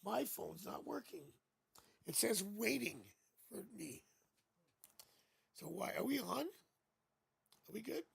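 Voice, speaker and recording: A man with a raspy voice hosting a casual live tarot stream, chatting playfully and joking through a new studio microphone. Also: high voice, expressive, slow, pauses often.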